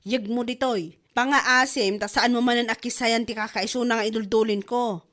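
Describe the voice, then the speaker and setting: A woman's voice: fairly high pitch, steady tone, moderate pace, a bit bright, natural voice. A woman with an Ilocano accent acting everyday women in a comedy drama. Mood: bossy, nagging.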